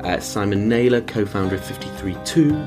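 A man co-hosting a podcast, reading the intro in a halting way full of fillers. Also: fairly low pitch, over music. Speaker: podcast co-host.

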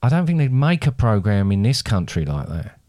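A man's voice: fairly low pitch, sing-song, brisk, dark tone, no room sound, studio quality. A man co-hosting a podcast, chatting casually, rambling and restarting his sentences. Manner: rambling, hesitant, with many restarts. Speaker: podcast co-host.

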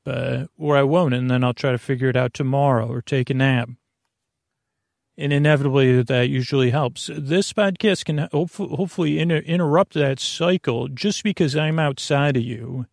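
A man hosting a sleep podcast with a creaky voice, talking in a meandering, halting, reassuring way that often repeats and trails off mid-thought. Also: medium pitch, a little mellow, no room sound, clean studio sound. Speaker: podcast host. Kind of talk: rambling bedtime sleep podcast intro.

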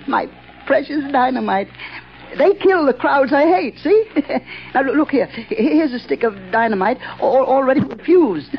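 A man's voice: very high voice, a little slow, exaggerated voice. An actor in a 1940s radio drama playing a deranged, fearful male night watchman and bomber who stammers, giggles and repeats himself. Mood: gleeful, manic. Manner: stammering, repetitive, giggling, excitable. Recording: muffled old radio broadcast.

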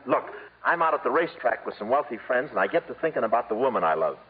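An actor playing a wheedling, wisecracking small-time racetrack hustler in 1950s radio comedy style. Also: male voice, medium pitch, very animated, fast talker, natural voice. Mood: smooth-talking. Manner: glib, wisecracking patter. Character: fast-talking, wheedling small-time racetrack con man. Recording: muffled, noisy old radio broadcast.

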